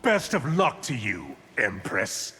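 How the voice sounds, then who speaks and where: A man playing an arrogant, scheming evil sorcerer in a video game, with haughty, theatrical, deliberate speech. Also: mid-range voice, wildly swooping pitch, sharp tone, husky, full character voice. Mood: scornful menace. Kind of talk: video game villain acting. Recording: long hall reverb, music behind.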